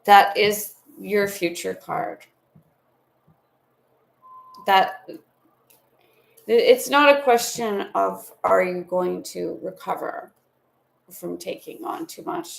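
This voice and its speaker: A woman's voice: medium pitch, vocal fry. A woman giving a live tarot reading from home, talking in a soothing, meandering, spiritual way with affectionate asides. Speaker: tarot reader.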